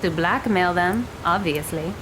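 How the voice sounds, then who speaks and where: A woman's voice: on the low side, measured pace, heavy background noise, close to an everyday voice. A woman playing a cold, sarcastic thirteen-year-old girl in an audio drama, with a dry, curt delivery. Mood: dry, detached.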